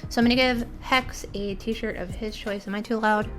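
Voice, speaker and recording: A woman's voice: a little high, steady tone, normal speed, a bit bright, faint music behind. A young woman from the United States hosting a casual streamed interview, chatty and giggly with lots of fillers. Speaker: podcast host.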